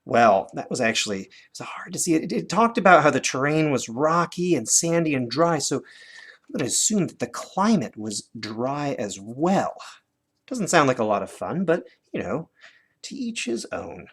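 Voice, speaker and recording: A man's voice: a little high, big pitch swings, normal speed, fairly bright tone, husky. A man with a North American accent teaching children in a conversational way, guiding them with questions as he demonstrates. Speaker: teacher presenting a lesson. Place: indoors at a desk, hands-on demonstration filmed from above.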